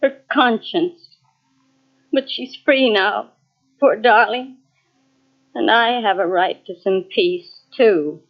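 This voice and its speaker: An actress playing a cold, jealous, unstable twin in an old-style radio mystery, with a clipped, haughty delivery. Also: female voice, mid-range voice, takes it slowly, long pauses, close to an everyday voice. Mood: brittle, feigned innocence. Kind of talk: 1940s radio mystery acting. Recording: dull lo-fi old radio tape.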